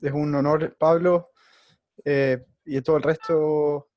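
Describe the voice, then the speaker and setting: A man's voice: a little high, little pitch movement, slow, no room sound, dull audio. A man with a Chilean accent, a physician guest talking semi-formally on a video call, hesitating and repeating words. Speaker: guest speaker, emergency physician.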